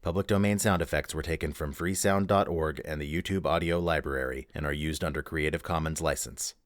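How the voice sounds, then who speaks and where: A man's voice: a bass voice, fairly even intonation, brisk, dry recording, clean studio sound. A man reading scripted announcements and credits as the host of an audio play showcase. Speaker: festival announcer.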